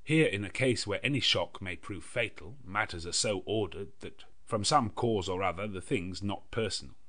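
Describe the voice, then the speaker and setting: Very deep-voiced male audiobook narrator. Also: a bit bright. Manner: measured, literary storytelling. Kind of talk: scripted narration of a gothic novel.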